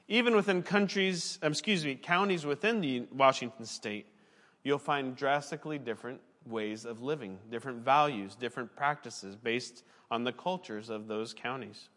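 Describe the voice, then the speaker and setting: A man's voice: medium pitch, a bit bright, in a large hall. A man, a pastor and former missionary, preaching a sermon in an earnest, conversational way and now and then correcting himself mid-sentence.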